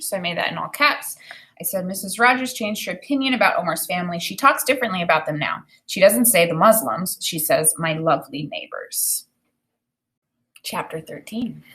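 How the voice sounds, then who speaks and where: A woman in her thirties with an American accent, teaching through an expressive read-aloud with spoken commentary on the story. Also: medium pitch, moderate pace. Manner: expressive reading with acted dialogue.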